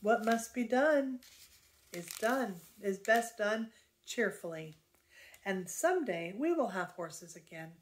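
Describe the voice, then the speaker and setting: Storybook pioneer dad, slow and cheerful, read plainly by a woman at mid pitch. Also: lively intonation. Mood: gentle. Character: kind, steady pioneer father.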